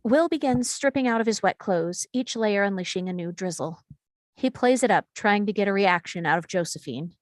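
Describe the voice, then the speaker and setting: A woman's voice: mid-range voice, lively intonation, moderate pace, dry recording. A woman reading stage directions as scripted narration at a screenplay table read.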